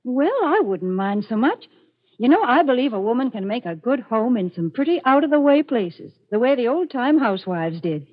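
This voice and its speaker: A woman with a fairly high, very lively voice pitches products on 1940s radio. She is chatty and folksy, leaning on key words. The old broadcast is slightly muffled. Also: normal speed. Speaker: sponsor announcer.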